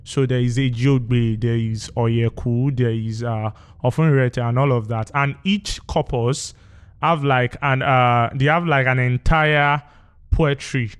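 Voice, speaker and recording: A Nigerian man whose first language is Yoruba, answering interview questions as a poet guest in a measured, thoughtful way with pauses and fillers. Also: mid-range voice, steady tone, smooth. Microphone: studio microphone.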